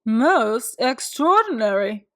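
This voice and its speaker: Woman acting out a fussy, deliberate storybook doctor man. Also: fairly high pitch, very animated, fairly bright tone, full character voice. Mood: astonished, probing. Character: fussy, bumbling male doctor, grown man. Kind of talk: children's storybook read-aloud.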